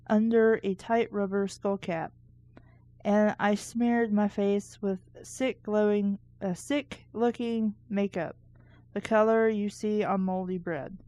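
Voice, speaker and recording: A woman's voice: mid-range voice, dark tone, no echo. Dry-sounding, irreverent woman reading aloud casually. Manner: irreverent, sarcastic, mildly crude commentary. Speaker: reader and reviewer of children's books. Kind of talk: casual book read-aloud with joking asides.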